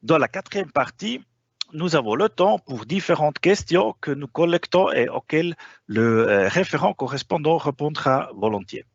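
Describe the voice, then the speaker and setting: A man's voice: fairly high pitch, animated, normal speed, slightly rough, no echo, lo-fi sound. A man whose first language is not French, presenting a formal webinar with frequent hesitations. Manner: hesitant, frequent "euh" fillers.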